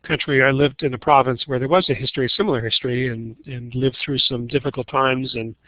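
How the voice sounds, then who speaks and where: A man's voice: mid-range voice, fairly even intonation, brisk, no echo. A man moderating a panel over a web call, his speech full of fillers and self-corrections. The audio is dull and lo-fi, with no room sound at all. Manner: hesitant, many fillers and restarts. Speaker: webinar moderator. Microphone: computer mic on a web call.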